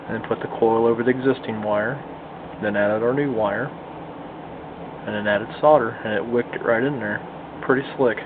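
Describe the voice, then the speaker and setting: A man's voice: fairly low pitch, steady tone, normal speed, long pauses. A folksy, plain-spoken man giving a casual hands-on tutorial at a home workbench, picked up by the camera's microphone. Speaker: hobbyist radio restorer giving a demonstration. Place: indoor home workshop bench. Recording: muffled phone-like audio, loud room hum.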